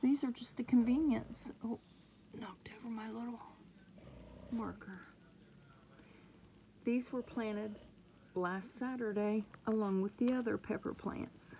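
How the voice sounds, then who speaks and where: A woman chatting excitedly about her seedlings, sometimes dropping to a murmur, recorded on a handheld phone indoors. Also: on the high side, takes it slowly, long pauses, slight background noise, rough recording. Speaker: gardening vlogger. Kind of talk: casual home gardening vlog. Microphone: phone camera held close.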